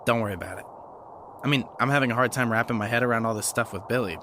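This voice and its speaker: Earnest, gentle young man played by an actor. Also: medium pitch, rapid-fire, background noise, close to an everyday voice. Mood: reassuring. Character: Aiden, earnest bookish kind young man. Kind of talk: audio drama acting. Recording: music bed under the voice.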